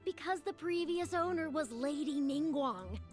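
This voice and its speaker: High, excitable naive bidder girl. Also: unhurried, music in the background. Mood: gleeful, confident. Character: excitable, naive, money-hungry young female bidder. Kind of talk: video game voice acting.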